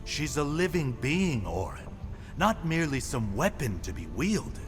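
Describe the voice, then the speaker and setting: An actor playing a good-humoured, loyal godsmith in a fantasy video game, speaking expressively and without hurry, gently chiding. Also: male voice, a little high, sing-song, measured pace. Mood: wry, encouraging. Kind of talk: dramatic video game acting. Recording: background music.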